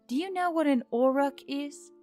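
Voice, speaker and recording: A woman voicing a stubborn, sharp-witted young heroine in a fantasy audiobook, with a probing, sarcastic manner. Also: sits high, moderate pace, faint music behind, pitched above the performer's own voice, close to an everyday voice.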